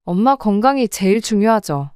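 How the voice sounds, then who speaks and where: A woman's voice: medium pitch, animated, normal speed, a little mellow, clear, resonant tone, dry recording, studio quality, close to an everyday voice. A woman playing a devoted adult daughter, earnest and protective toward her mother, in an audio drama. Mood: earnest, worried.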